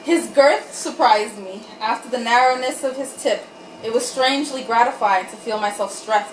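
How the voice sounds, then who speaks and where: A tipsy woman giving an irreverent, giggly dramatic reading, hosting for a group and breaking off for asides. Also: on the high side. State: tipsy from wine. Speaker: reader and host of a comic group reading. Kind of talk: dramatic reading of erotic fiction with joking asides. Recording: roomy, distant mic, faint background noise.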